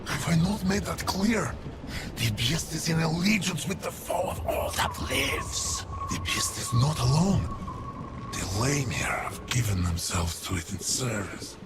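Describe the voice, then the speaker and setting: Casting: weary old plague-ridden game creature, creaky voice, solemn archaic delivery, long pauses. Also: male voice, a little high, very animated. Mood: grave warning. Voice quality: creaky, gravelly. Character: ancient weary plague-stricken Pan creature, solemn and brooding. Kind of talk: fantasy video game acting. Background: music.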